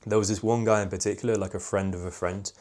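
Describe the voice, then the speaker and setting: A young man giving social skills advice in a casual video, candid and reflective, with a fairly monotone voice and many "like" fillers. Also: lowish voice, fast talker.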